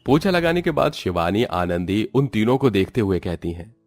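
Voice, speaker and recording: A man's voice: on the low side, big pitch swings, normal speed, dry recording, faint music behind. A man reading scripted narration as the storyteller of a cartoon moral tale.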